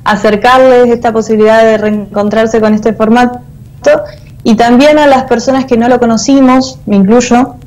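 A woman's voice: a little high, steady tone, moderate pace, darkish tone, rough recording. A woman in her thirties with an Argentine accent, giving conversational interview answers as a guest over a video call. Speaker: guest, theatre artist and teacher. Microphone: earphone mic on a video call.

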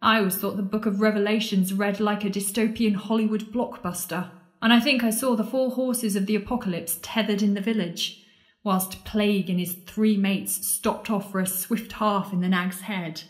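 A woman's voice: mid-range voice, steady tone, moderate pace, close to an everyday voice. A woman playing a sardonic, frightened penitent at the world's end in an audio drama, babbling and joking wryly out of nerves. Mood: angry, sarcastic. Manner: babbling, wry, joking nervously. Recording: echoey room.

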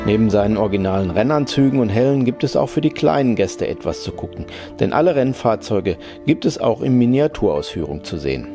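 A man reading a scripted report voice-over in an even, measured way. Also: fairly low pitch. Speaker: narrator. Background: background music.

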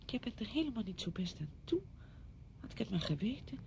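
An actress in a Dutch radio play, playing a brisk, patronising woman who fusses chattily over a widowed friend. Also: very animated, gravelly, a little mellow. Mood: concerned, defensive. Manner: chatty, fussing, condescending. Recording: dull radio recording with rumbling noise.

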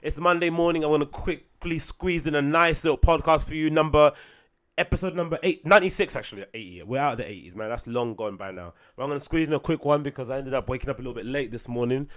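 A young man with a London accent and a slightly raspy voice chats casually to his listeners. He rambles, throws in slang and the odd swear word. He speaks close to a boom mic in a small home room. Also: quick pace. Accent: London English. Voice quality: raspy today. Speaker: podcast host and DJ. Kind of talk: casual solo podcast chat. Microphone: studio mic on boom arm close to mouth.

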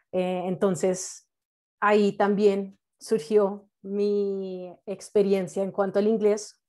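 A young woman with a Colombian accent, a native English speaker, teaches an online class informally, encouraging her students in a halting way with frequent check-in questions. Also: medium pitch, warm tone. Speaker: medical English teacher and nurse. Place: indoors, on a video call. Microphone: video call microphone.

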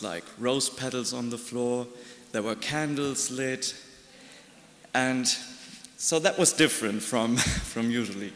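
A young man from Germany tells a library story in English at a podium, speaking in a relaxed, easygoing way. His voice is of ordinary pitch for a man. A large hall adds long, roomy reverb. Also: creaky. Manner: relaxed storytelling, brief chuckles. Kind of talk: telling an anecdote. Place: large conference hall stage. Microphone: podium microphone. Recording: echoey hall.

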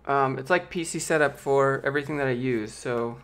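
A man in his late twenties with a light, youthful voice that sometimes squeaks, casually chatting and answering viewer questions on a live stream from a small home office into a close studio microphone. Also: brisk, clear, resonant tone. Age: late 20s. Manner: relaxed, rambling, reads viewer questions aloud. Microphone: large studio mic with pop filter, close.

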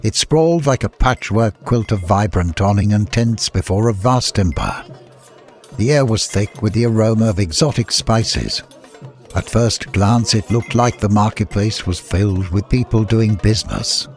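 A man's voice: on the low side, normal speed, darkish tone, soft background music. A man reading a scripted adventure story as an audiobook narrator.

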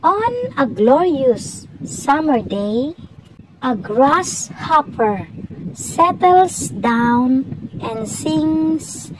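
Woman narrator, high voice, storybook reading, short deliberate phrases, faint noise behind. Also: lively intonation, low-quality recording. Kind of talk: slow scripted storybook narration.

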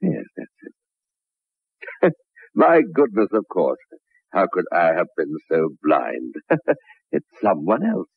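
A wronged husband in an old radio drama, theatrical and sarcastically endearing. His husky voice is deep and menacing. The recording is muffled and narrow, like an old radio broadcast. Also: very animated, slow, long pauses, no room sound, exaggerated voice. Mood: brooding, mocking. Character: jealous, menacing, mock-tender wronged husband. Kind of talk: 1960s radio drama acting.

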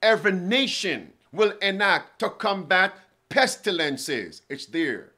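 A man's voice: high voice, very animated, slow pace, bright, forceful tone. A man in his forties preaching to camera from a studio desk, reading quotations aloud and asking his listeners pointed questions. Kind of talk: prophecy sermon to camera. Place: indoor studio with bookshelves.

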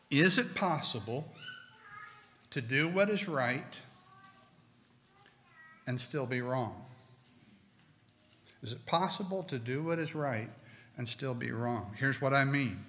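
A middle-aged man preaching a sermon from a pulpit, slow and deliberate with emphatic pauses. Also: medium pitch, lively intonation, a bit bright, a little hiss or hum. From United States. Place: church sanctuary with stone wall, at a pulpit. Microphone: pulpit microphone. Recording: long hall reverb.

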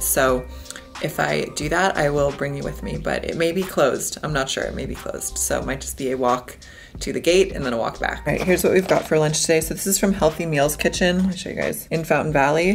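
A woman in her thirties, chatting upbeat to the camera held at arm's length as she vlogs her day. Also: vocal fry. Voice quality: deep morning voice. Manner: chatty, upbeat, addresses viewers directly. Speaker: vlogger. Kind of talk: casual vlog narration. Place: car, office, outdoors. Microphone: handheld camera at arm's length.

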